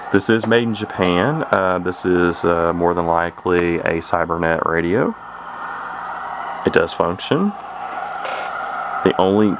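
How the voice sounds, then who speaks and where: A man giving a casual, rambling hobbyist demonstration, hesitating and repeating words as he goes. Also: sits low. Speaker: radio collector presenting his collection. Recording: noisy amateur camera recording.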